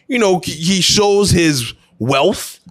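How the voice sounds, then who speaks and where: Animated man giving casual opinion commentary. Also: high-pitched, takes it slowly. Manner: emphatic, conversational, repeats phrases for effect. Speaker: host of an opinion show.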